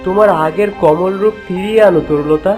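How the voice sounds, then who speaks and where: A man's voice: sits high, brisk, close to an everyday voice. A man plays a young fairy-tale prince, stern and reproachful. It is cartoon dubbing. Light music runs behind the voice. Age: young adult. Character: stern young prince of twenty-eight, devoted to his mother.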